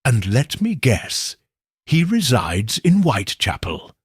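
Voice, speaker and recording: A man's voice: medium pitch, huge pitch swings, slow, breathy edge, no echo, studio quality, full character voice. A man voicing an earnest detective's sidekick in an audiobook mystery, puzzled and eagerly questioning. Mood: surprised.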